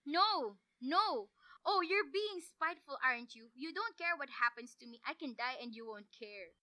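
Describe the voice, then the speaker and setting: A young woman playing a frantic, imperious bedridden wife in a radio thriller, with a shrill, agitated, indignant delivery. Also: expressive, moderate pace, sharp tone. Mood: panicked. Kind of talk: 1940s radio thriller acting.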